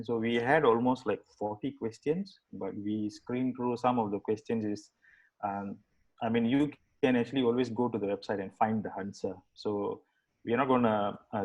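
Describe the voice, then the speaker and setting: A man hosting an online webinar, talking casually in a rambling way with many fillers and restarts. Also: medium pitch. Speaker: webinar host. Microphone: video call. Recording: dull video-call audio.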